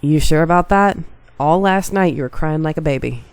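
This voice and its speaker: A congested woman voicing a sly, teasing young male swordsman with a slow, needling way of talking. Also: low-pitched, clean tone, no echo. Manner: teasing, drawling.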